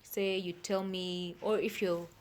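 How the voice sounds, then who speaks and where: A woman's voice: lowish voice, little pitch movement, takes it slowly, slight background noise. A woman speaking as an expert interview guest, explaining things plainly step by step and checking that she is understood.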